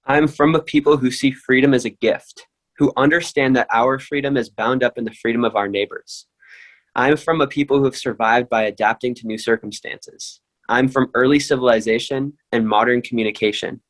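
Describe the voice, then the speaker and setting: A man's voice: mid-range voice, fairly even intonation, fairly quick, rough recording. Man reciting a poem earnestly.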